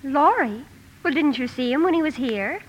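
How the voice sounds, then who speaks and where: An actress playing a genteel young sister, acting and narrating in a 1950s radio drama. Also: female voice, sits high, expressive, moderate pace, a little hiss or hum. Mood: light, chatty. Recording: dull old radio broadcast with music.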